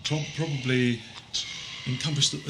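An actor playing a vague, ailing time-traveling alien scientist who hesitates and stammers in a sci-fi audio drama. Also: male voice, mid-range voice, steady tone, moderate pace, natural voice. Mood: confused, faltering. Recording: noisy 1980s amateur audio-drama tape.

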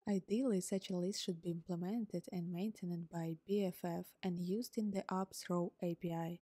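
A woman whose first language is Ukrainian, calmly narrating a scripted coding tutorial step by step as host. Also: lowish voice, normal speed, no echo. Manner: calm, step-by-step explaining. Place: voice-over on screen recording.

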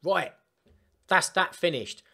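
A man presenting an informal step-by-step software tutorial in an emphatic, instructional way. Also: a little high, lively intonation, slow pace, vocal fry. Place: voice-over for screen recording.

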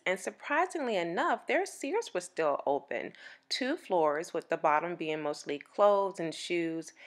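A woman in her forties narrating a mall walkthrough as a vlogger, chatty and relaxed, with fillers. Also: fairly low pitch. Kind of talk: mall tour voice-over narration. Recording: music playing under the voice.

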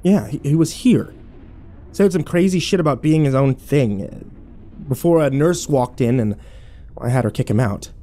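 A man playing a weary, sarcastic survivor, mellow voice. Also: mid-range voice, moderate pace, lightly acted. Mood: tense, sarcastic. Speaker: actor and narrator. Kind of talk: first-person audio drama narration and dialogue.

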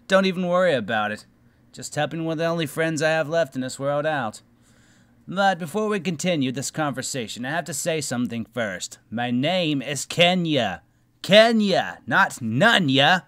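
A man plays a self-important, aloof schoolboy in an anime parody. His voice sits a little high for a man and moves around a lot, with deadpan, emphatic lecturing. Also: moderate pace, no echo. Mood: insistent. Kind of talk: anime parody fan dub.

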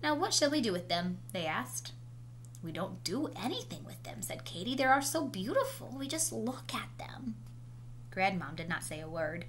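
Lady reading a kids story out loud at home, expressive, medium pitch, lots of hum and noise behind her. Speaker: storyteller reading a picture book aloud. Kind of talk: children's story read-aloud. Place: indoors at home, small room. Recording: noisy home recording with steady hum.

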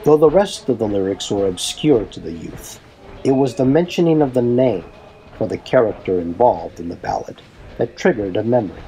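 A man reading a fan-fiction story aloud as narrator, in a dramatic, deliberate storytelling manner. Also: fairly low pitch, expressive, noisy background.

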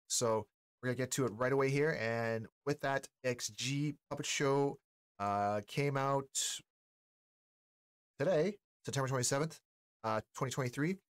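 A man's voice: mid-range voice, no room sound. A man in his forties giving casual, slangy reaction commentary to camera as a host. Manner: slangy, informal. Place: small indoor streaming room.

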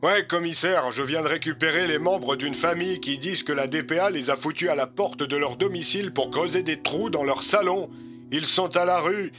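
A man's voice: fairly high pitch, normal speed, over music, natural voice. Man as a worried police captain, muffled. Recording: muffled lo-fi phone-style sound.

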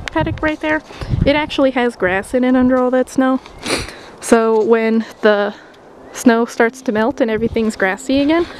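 A woman's voice: a little high, rough recording. A young woman casually narrating a walking tour of a horse barn, upbeat and chatty as she points things out. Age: young adult. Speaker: vlogger giving a barn tour. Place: horse barn and snowy paddocks outdoors.

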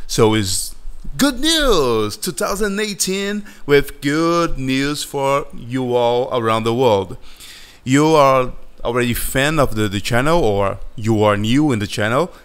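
Male animator host, Brazilian Portuguese accent, unhurried, smooth voice, friendly and halting. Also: mid-range voice, fairly bright tone. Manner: slow and halting, searching for words, friendly. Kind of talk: casual vlog chat over a screen recording.

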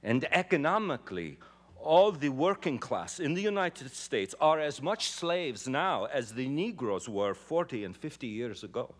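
A man's voice: medium pitch, sing-song, measured pace. A middle-aged actor reading as a young male immigrant labor organizer pleading before a jury on a theater stage. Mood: impassioned. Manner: impassioned, swelling then dropping to hushed. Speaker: actor giving a dramatic reading. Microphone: podium microphone.

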